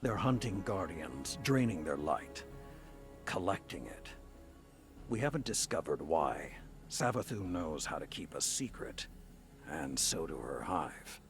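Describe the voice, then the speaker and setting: Very deep, gravelly old warrior commander. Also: male voice, lively intonation, unhurried, soft background music, exaggerated voice. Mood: grim, vengeful. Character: stern, grizzled old male warrior commander, distrustful and hard. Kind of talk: dramatic video game acting.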